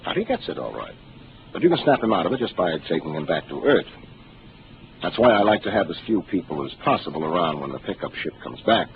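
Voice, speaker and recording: Seasoned starship captain, 1950s radio play, low and measured, hissy old broadcast. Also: male voice, big pitch swings, phone-quality sound. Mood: explaining. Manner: measured, authoritative, explaining patiently. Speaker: ship captain. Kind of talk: 1950s radio drama acting.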